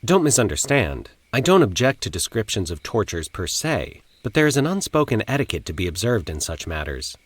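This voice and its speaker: A man's voice: fairly low pitch, very animated, fairly quick, hardly any pauses, slightly rough, no echo. A man narrating a scripted first-person horror story in a formal, dramatic manner.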